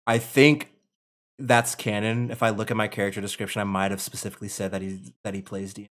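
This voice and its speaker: Low-voiced American man narrating a script. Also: little pitch movement, fast, fairly bright tone. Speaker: writer and narrator leading a script table read. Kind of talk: casual reading of screenplay action and post-read chat.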